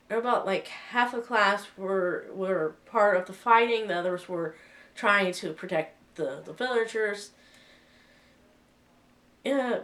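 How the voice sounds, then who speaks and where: A woman's voice: mid-range voice, a bit bright, far from the mic. A woman with a cold giving a casual spoken review, hesitant, with many fillers and restarts. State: sick with a cold. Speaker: vlogger reviewing a film.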